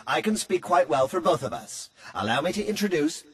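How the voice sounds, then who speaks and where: A man voicing a polite, talkative alien head in a video game, courteous and smooth-talking. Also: sits high, animated, a little fast, fairly bright tone, full character voice. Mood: suave. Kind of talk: video game cutscene acting.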